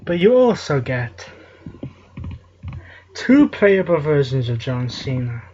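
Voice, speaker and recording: A man's voice: mid-range voice, very animated, takes it slowly, a little mellow, lo-fi sound, a little hiss or hum. A man co-hosting a wrestling talk show in casual chat, making jokey wordplay with names.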